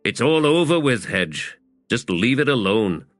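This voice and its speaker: A man voicing a weary, hot-tempered soldier in a fantasy audiobook, gruff, terse and emphatic. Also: mid-range voice, sing-song, unhurried, no room sound, soft background music, voice pushed up. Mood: anguished pleading.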